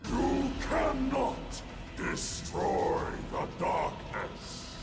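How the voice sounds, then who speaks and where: Gravelly, slow demonic dark lord. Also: male voice, very animated, full character voice. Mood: thunderous threat. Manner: slow, booming, grandiose threats. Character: dread demonic dark lord, menacing, vengeful, monstrous. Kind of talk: video game villain acting. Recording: loud music over the voice.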